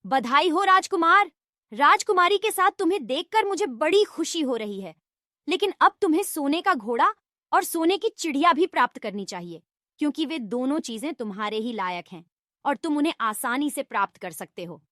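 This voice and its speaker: A woman plays a friendly she-fox from a fairy-tale storybook. The voice sits on the high side and sounds dry, with no room around it. The fox guides and encourages in an instructive way. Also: expressive, normal speed, bright, forceful tone, no room sound. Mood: congratulating, encouraging. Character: friendly, helpful female fox advising a prince in a fairy tale.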